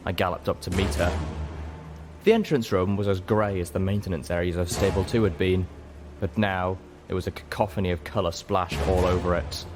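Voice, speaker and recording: A man reading a dramatic story as an audiobook narrator, measured, solemn and emotive. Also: low voice, steady tone, music in the background. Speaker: audiobook reader.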